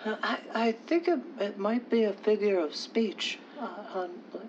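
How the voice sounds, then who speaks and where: Guy doing a timid squeaky kid gofer, stutters every word, eager to please, dull noisy audio. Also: moderate pace, lightly acted. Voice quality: high, thin boyish voice. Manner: heavy stammering, hesitant, deferential. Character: timid, nervous, eager errand boy at radio studio. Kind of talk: old-time radio comedy acting. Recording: dull lo-fi sound, faint noise.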